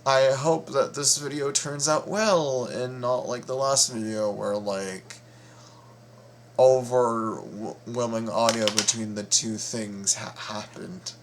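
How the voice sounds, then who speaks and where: Slow-talking, halting man with a lowish voice. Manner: halting, repeats words and stammers. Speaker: reaction video host. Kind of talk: casual vlog intro to a reaction video. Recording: steady background noise.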